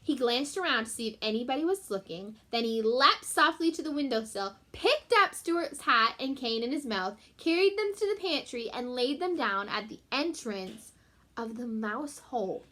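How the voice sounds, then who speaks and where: A woman's voice: a little high, lively intonation, normal speed, pushed and bright. A woman teacher reading a children's storybook aloud, expressively, with chatty asides and simple explanations for her pupils.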